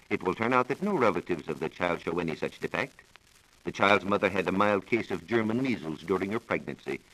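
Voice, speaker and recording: American guy explaining science on old 1940s radio, lowish voice, really lively intonation, formal and scripted. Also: poor audio. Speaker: science reporter explaining research.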